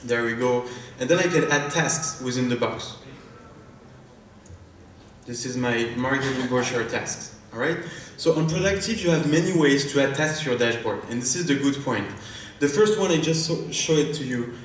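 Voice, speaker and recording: A man's voice: medium pitch, moderate pace. A man with a French accent, a startup founder giving a live product demo on stage, hesitant, with many fillers and restarts. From France. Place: large conference hall. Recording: echoey hall from far off, faint noise.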